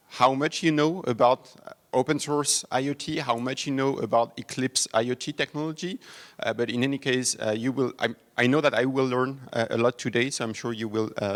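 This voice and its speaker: A man from France with a French accent, opening a technology workshop in a conference room and giving an overview talk with frequent hesitations and restarts. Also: medium pitch. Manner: halting, many fillers and restarts. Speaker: conference host and foundation speaker.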